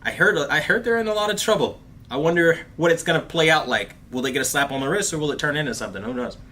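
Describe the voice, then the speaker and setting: A man hosting a casual livestream, talking in an animated, chatty way with plenty of fillers and calling his viewers bro and dude. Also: on the high side, fast. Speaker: host of a TV review livestream. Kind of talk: casual livestream chat reading viewer comments.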